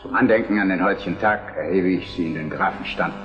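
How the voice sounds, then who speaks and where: Man as a solemn old prussian king, lowish voice, dull radio sound, music behind. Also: fast, natural voice. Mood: solemn, pleased. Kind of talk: German historical radio drama acting.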